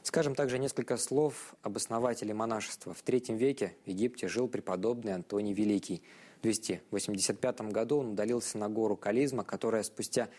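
A man with a lowish voice presents a religious television programme. He delivers scripted educational narration. His phrasing is formal, bookish and measured. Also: steady tone, warm tone.

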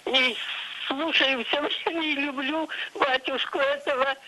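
A woman in her eighties from the Leningrad region of Russia, calling a live show by telephone with halting, repetitive, homely phrasing. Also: sits high, steady tone, sharp tone. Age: 80s. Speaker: caller to a live programme. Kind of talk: casual spoken question on a phone-in. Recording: tinny telephone line.

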